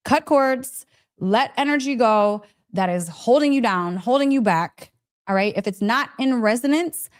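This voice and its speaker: Gushing high-voiced woman, chatty and emphatic. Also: lively intonation, unhurried. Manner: chatty, direct address with frequent check-in questions. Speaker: host of a live story session, spiritual teacher. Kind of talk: casual motivational live-stream storytelling.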